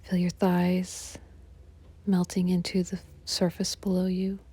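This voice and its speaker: A woman guiding a relaxation meditation in a soft, breathy, half-whispered, soothing delivery. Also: on the low side, completely flat delivery, takes it slowly. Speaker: yoga nidra meditation guide.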